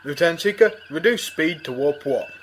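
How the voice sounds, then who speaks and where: A man playing a steady, thoughtful rabbit starship captain in a sci-fi audio drama, with a measured, authoritative way of talking. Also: on the high side, music in the background, lightly acted. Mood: commanding.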